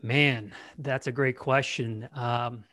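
A man's voice: mid-range voice, steady tone, slow pace, bright, forceful tone, no room sound, clean studio sound. A man in his forties answering as a podcast guest, hesitant, with many fillers and repeated words. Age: 40s. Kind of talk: casual interview answer.

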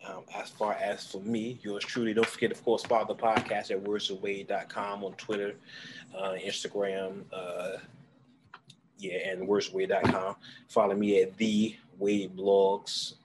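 A man's voice: on the low side, level tone, a little slow, noisy background. A man hosting a podcast in loose, laughing, swearing banter with his co-hosts. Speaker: podcast host. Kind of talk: casual chat.

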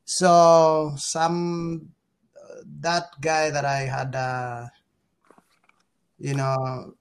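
A middle-aged man with a Kenyan accent, talking casually as an interview guest and pausing often mid-sentence. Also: fairly high pitch, monotone, clear, resonant tone.

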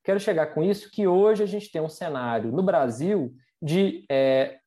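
Brazilian researcher with a mid-pitched voice. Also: a little slow, mellow. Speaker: academic researcher, guest speaker. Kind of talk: explanatory presentation of research in a podcast debate.

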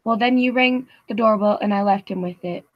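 A woman's voice: mid-range voice, fairly quick, no echo, lightly acted. Anxious butler played by a woman for old-time radio: formal, hesitant, deferential, mid-range, dry muffled recording. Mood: shaken. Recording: slightly dull, dry recording.

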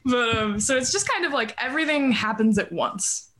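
Woman from canada chatting casually in a band interview, voice on the high side, noisy audio. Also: expressive, moderate pace. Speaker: band member being interviewed. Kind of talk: casual chat. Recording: clearly noisy background.

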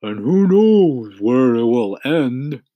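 Doleful storybook earthworm, voiced by a man: muttering, slow, pessimistic grumbler. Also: medium pitch, big pitch swings, no echo, highs cut off, voice pushed up. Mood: gloomy. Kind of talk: children's storybook reading.

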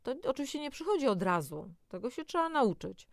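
A woman's voice: sits high, sing-song, fairly quick, gravelly, no echo, studio quality. A woman co-presenting educational health material, explaining informally and speaking directly to the listener between scripted passages. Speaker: co-presenter of a health audio programme. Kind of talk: scripted educational narration with conversational asides.

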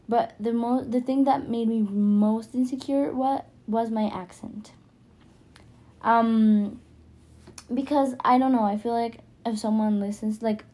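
Teenage girl with a Spanish accent, higher voice. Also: mellow. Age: teenager, high school freshman. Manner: informal, hesitant, self-conscious rambling. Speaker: podcast host. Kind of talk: casual personal podcast monologue.

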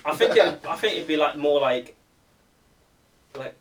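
A young man telling childhood stories in a casual chat, halting and restarting as he goes. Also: a little high, a little hiss or hum. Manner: hesitant, halting, with many restarts. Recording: distant mic.

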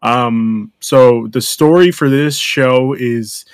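A man's voice: medium pitch, takes it slowly, clear, resonant tone, no echo. A man co-hosting a casual chat show, rambling through his thoughts with restarts and fillers. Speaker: podcast co-host.

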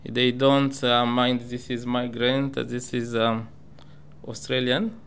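A man who is a migrant learning English speaks in halting, simple sentences full of fillers about his new life. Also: medium pitch, flat delivery, a bit bright, clean tone. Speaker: migrant English student on community radio. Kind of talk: personal talk.

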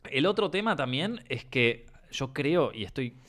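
A man's voice: mid-range voice, animated, a little slow, a bit bright. A man with an Argentine Spanish accent, chatting casually about films, rambling with many fillers and restarts.

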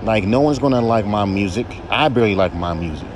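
Blunt baritone young man ranting. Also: fairly quick, background noise. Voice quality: baritone, droll monotone. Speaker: podcast host and rapper. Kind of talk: solo podcast rant.

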